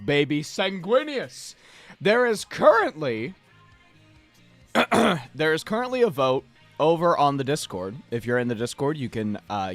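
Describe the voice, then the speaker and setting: A man with a slightly hoarse voice, hosting a casual livestream where he paints miniatures and chats playfully with viewers. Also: on the high side, a bit bright, no echo. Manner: playful, chatty, addresses chat directly. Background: faint background music.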